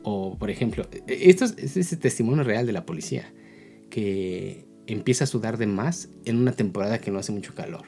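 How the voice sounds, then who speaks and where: A man's voice: fairly low pitch, lively intonation, fairly quick, mellow, faint music behind. A man with a Mexican accent giving a casual, explanatory opinion talk as a video blogger, often checking in with tag questions.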